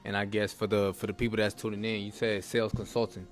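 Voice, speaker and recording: Fast-talking Louisiana man hosting. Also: on the low side, little pitch movement. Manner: upbeat, fast-talking, loose and conversational. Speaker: host and arena football player. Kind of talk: casual interview.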